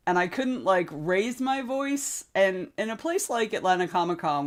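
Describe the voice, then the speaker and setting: A woman hosting a casual writing livestream, chatting and greeting viewers by name. Her voice is a little hoarse and wobbly, and she keeps clearing her throat. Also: mid-range voice. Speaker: livestream host. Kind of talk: casual chat on a writing stream.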